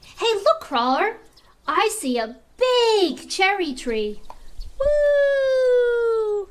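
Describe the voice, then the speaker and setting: A woman's voice: extremely high pitch, big pitch swings, very slow, noisy background, exaggerated voice. A woman voicing a small, cheerful, curious mouse puppet who teaches toddlers in simple, repetitive exclamations. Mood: delighted.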